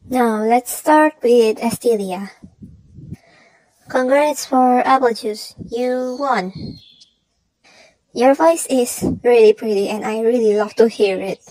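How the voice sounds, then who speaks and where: Female announcer for a channel update: higher-pitched, unhurried, halting, altered voice, dry sound. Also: darkish tone, no echo, low-quality recording. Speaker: channel creator announcing audition winners. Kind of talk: semi-scripted announcement, reading prepared notes.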